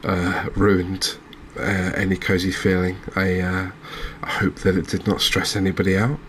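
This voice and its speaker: A man giving gentle, unhurried ASMR commentary over a cozy fishing game, with hesitant, understated phrasing. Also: sits low, monotone, a little hiss or hum. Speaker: host, video game streamer.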